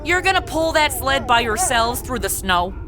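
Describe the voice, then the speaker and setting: A very high, swooping voice for a sassy, scatterbrained young Mountie played by a woman. She is flippant and slangy in the manner of audio comedy, with music behind her. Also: sing-song, moderate pace. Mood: exasperated. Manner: flippant, exclamatory, modern slangy delivery. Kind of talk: comedy audio drama acting.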